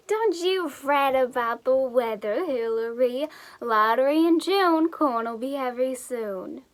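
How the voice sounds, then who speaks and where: A woman's voice: high voice, animated. A woman with an American accent playing a plain-spoken, opinionated small-town farm parent in an amateur play. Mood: folksy, opinionated. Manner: unhurried, folksy. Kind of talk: amateur stage-play acting.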